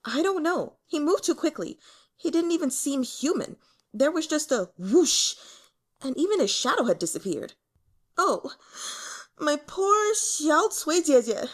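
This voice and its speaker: Woman voicing an excitable, high-pitched, indignant young man. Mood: puzzled, plaintive. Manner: rushed, stammering, melodramatic complaining. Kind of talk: audiobook character voice.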